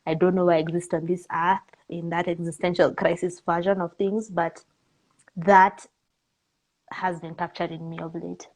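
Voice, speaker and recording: A woman with a Kenyan accent talking casually as an interview guest, candid, expressive and informal in how she puts things. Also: on the low side, normal speed, poor audio.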